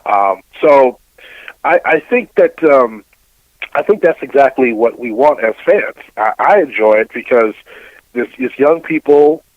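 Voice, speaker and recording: A man's voice: mid-range voice, lively intonation, unhurried, no echo. Middle-aged man on a dull, dry line. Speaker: sports radio host, interview guest. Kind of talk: casual interview answers, reflective opinion.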